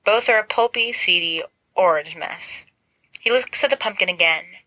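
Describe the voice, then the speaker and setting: A woman narrates a story with expressive, scripted delivery. Her voice is bright and forward, and the sound is close and dry, with hardly any room to it. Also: fairly low pitch, moderate pace, dry recording, rough recording. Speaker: fan fiction audiobook reader.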